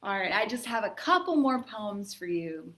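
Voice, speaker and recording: A woman hosting a children's storytime, reading poems aloud and chatting in an expressive, encouraging manner. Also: mid-range voice, moderate pace, a little hiss or hum. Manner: expressive, encouraging, addressing young listeners. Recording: echoey, roomy sound.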